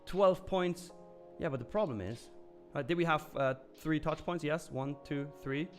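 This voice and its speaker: A man's voice: on the high side, measured pace, soft background music. A man hosting a live stream, chatting loosely and candidly as he answers viewers' comments, with frequent fillers and the odd curse. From Switzerland. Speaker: live trading stream host.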